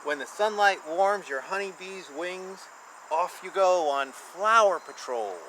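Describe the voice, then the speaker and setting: Guy w high bright voice reading a kids book out loud, explaining stuff simply, animated, some bg noise. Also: measured pace, rough recording. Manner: animated, simple explaining for children, makes buzzing sounds. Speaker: picture book reader and explainer. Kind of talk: children's book read-aloud with informal explanations.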